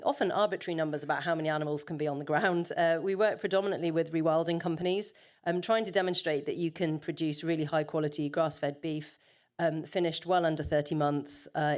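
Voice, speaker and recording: A woman's voice: deep voice, darkish tone. Woman giving a conference talk, filler-heavy. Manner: conversational, many filler ums, self-correcting. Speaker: conference panel speaker, farmer.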